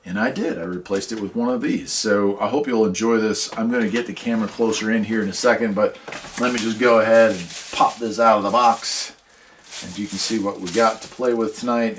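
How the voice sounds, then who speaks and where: A man's voice: fairly low pitch, a bit bright. An American man hosting a casual product review, talking conversationally and enthusiastically and repeating phrases for emphasis. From United States. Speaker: radio reviewer and video host. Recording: clear background noise, clicks of handling.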